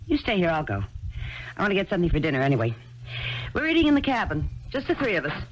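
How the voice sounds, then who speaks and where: An actress in her thirties playing a gentle, dreamy woman poet who lives in a commune, in a 1970s radio drama, speaking softly and coaxingly. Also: on the low side, wildly swooping pitch, quick pace, muffled recording. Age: 30s. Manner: soft, coaxing and warmly insistent.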